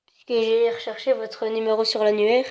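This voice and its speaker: A woman with a slightly high voice makes a prank call, posing as a customer. She speaks hesitantly but keeps meekly insisting. The sound is a dull, band-limited phone line. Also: little pitch movement, moderate pace, a little mellow. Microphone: telephone.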